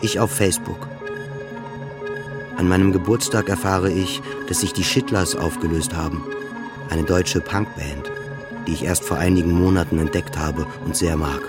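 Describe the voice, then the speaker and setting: Depressed, self-doubting male narrator played by an actor for radio drama, very low register, introspective, music prominent behind. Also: steady tone, natural voice. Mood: flat resignation. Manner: halting, repetitive, introspective monologue. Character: depressed forty-year-old male patient, brooding, self-doubting narrator.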